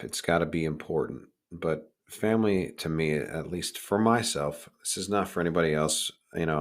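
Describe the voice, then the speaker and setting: Podcast host, male, deep raspy voice worn hoarse, talking candidly and informally on his own. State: hoarse, recovering from lost voice. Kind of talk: casual solo podcast monologue.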